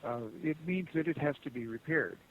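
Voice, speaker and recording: A man working as a customer service agent on the phone, speaking in a halting, rambling, conciliatory way. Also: on the high side. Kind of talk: unscripted phone support conversation. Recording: muffled phone call with hiss.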